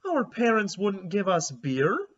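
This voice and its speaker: A man's voice: sits high, huge pitch swings, takes it slowly, pitched above the performer's own voice, exaggerated voice. A man voicing a curious, chatty young schoolgirl who is eager and outspoken, reading a children's storybook aloud. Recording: slightly dull lo-fi recording.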